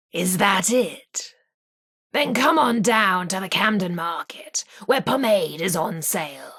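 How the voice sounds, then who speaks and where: Husky, chirpy British radio hostess. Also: female voice, medium pitch, a bit bright, full character voice. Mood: puzzled, teasing. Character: cheery, coy, naive female retro radio co-host. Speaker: radio host. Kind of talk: vintage radio host patter, scripted game acting.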